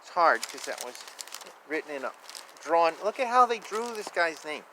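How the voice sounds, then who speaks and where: High-voiced man reading picture books outdoors. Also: normal speed. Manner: playful, expressive, repeats phrases. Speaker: children's book reader. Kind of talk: reading picture books aloud with casual asides. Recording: noisy outdoor ambience. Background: outdoor ambience, animal and vehicle sounds.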